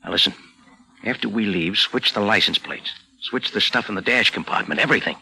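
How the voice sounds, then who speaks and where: A man playing a slick, scheming crook in a 1940s radio drama, smooth-talking and cagey. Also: fairly low pitch, a little fast, sharp tone, heavily acted, poor audio. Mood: terse, conspiratorial. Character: slick, scheming male crook feigning politeness.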